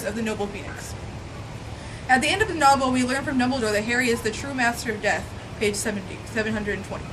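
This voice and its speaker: A woman with a high voice reads a scripted piece aloud as a dramatic performance. Her delivery is theatrical and emphatic, with a mock-serious air. Clear background noise, like traffic outdoors, runs behind her. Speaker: reader of a fan zine. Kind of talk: dramatic reading of a scripted mock-conspiracy essay.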